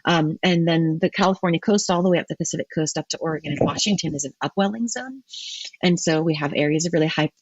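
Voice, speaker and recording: A woman's voice: low-pitched, quick pace, no room sound, dull audio. A woman, a marine ecologist, answering interview questions in a thoughtful way with frequent ums and restarts.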